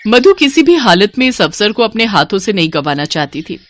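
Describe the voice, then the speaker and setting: A woman's voice: mid-range voice, sing-song, a little fast, fairly bright tone, a little hiss or hum. Woman narrating a dramatic moral story.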